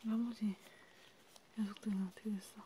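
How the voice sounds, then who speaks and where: Casting: female ASMR role-player, calm vampire medic, breathy whisper, subdued dark tone, audible background noise. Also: mid-range voice. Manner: unhurried, sparse phrases with pauses. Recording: background noise, amateur home recording.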